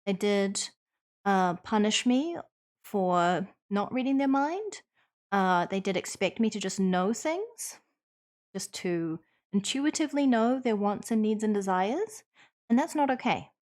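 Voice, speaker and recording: A woman's voice: mid-range voice, lively intonation, unhurried, a little mellow. Earnest New Zealand woman streamer. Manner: earnest, reassuring, thoughtful with frequent ums. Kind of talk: casual chat answering viewers.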